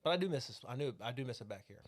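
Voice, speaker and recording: Southern guy, quick rambling podcast guest, lots of fillers and cursing. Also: medium pitch, lively intonation. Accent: Southern American. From American South. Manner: fast, rambling, heavy on fillers and swearing. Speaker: country singer, podcast guest. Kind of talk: casual podcast chat.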